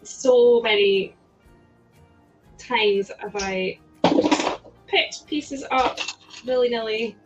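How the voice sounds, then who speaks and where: Slow, giggly Scottish woman streamer. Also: on the high side, lo-fi sound, over loud music. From Scotland. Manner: chatty, giggles often, reads out and answers chat. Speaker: live streamer. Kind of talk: casual live-stream chat while sorting building parts.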